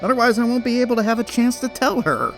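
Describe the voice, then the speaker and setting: A man acts out a fatherly bat pony guard with a swooping, expressive delivery. He is gentle and playfully teasing, in cartoon radio play style. Soft music sits faintly behind him. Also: very high-pitched, fast, full character voice. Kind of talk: animated fan audio drama acting.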